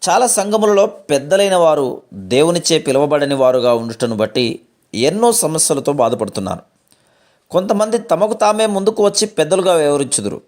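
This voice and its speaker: Male devotional reader, fairly high pitch, formal and measured scripted delivery. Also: a little mellow.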